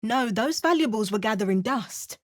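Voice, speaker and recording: A wry, determined adventuring heroine, voiced by a woman for a fantasy video game. She gives clipped asides and narrates in the first person, with a fairly high voice. She shouts her spell incantations. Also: animated, normal speed, natural voice. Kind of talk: recorded game voice lines.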